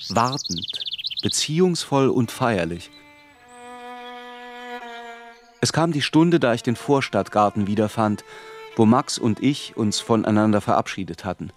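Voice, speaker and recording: An actor playing a reflective man who recalls his youth in measured, literary radio play narration. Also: lowish voice, noisy background, natural voice. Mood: solemn, expectant.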